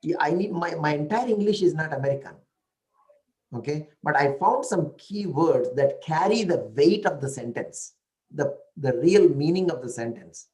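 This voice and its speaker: A man's voice: a little high, expressive, moderate pace, a little mellow, rough recording. A man with an Indian accent who speaks an Indian language natively, telling stories in a casual talk and often checking in with "right?" and "you know".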